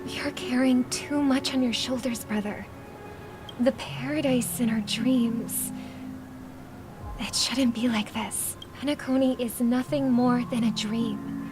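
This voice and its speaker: Young female game character, high pitch, gentle, earnest, poised, quietly firm, music behind. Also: steady tone, slow pace. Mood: earnest, troubled. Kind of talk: video game voice acting. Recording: music under the voice.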